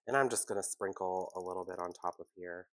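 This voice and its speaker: A man hosting a casual home baking tutorial, chatty and relaxed, with a congested, sniffly, nasal voice from a cold. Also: sits low, fast, no room sound. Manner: chatty, relaxed, self-deprecating asides.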